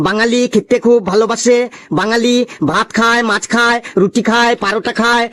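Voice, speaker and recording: A man's voice: extremely high pitch, a bit bright. A man voicing a cheeky, boastful schoolboy in a comic cartoon, reciting with singsong eagerness.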